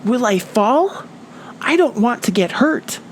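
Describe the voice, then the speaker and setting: A woman's voice: fairly high pitch, animated, takes it slowly, a little hiss or hum, voice pushed up. A woman voicing a timid, trusting young male bird in a children's storybook, earnest and hesitant. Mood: worried.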